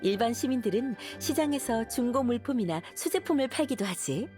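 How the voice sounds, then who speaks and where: A woman's voice: a little high, animated, a little fast, music in the background, natural voice. A woman playing an earnest, dutiful young knight leader in an anime game, composed and formal as she explains. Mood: informative. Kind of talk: Korean anime game voice acting.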